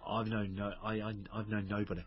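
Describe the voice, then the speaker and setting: A man's voice: on the low side, little pitch movement, brisk, highs cut off, faint music behind. A man with a Northern Irish accent talking personally as a father in an intimate conversation.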